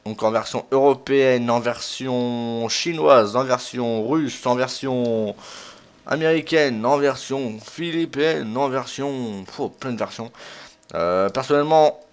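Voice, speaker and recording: A man's voice: medium pitch, slow pace, clear, resonant tone. A young man hosting a gaming video, commenting casually in an informal, rambling, self-deprecating way. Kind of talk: casual let's play commentary.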